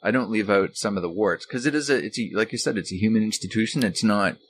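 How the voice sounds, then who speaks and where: A man's voice: lowish voice, quick pace, a little hiss or hum. A middle-aged or older man chatting casually as a co-host, rambling and repeating words as he goes. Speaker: co-host, author and former radio recording engineer. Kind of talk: casual unscripted conversation.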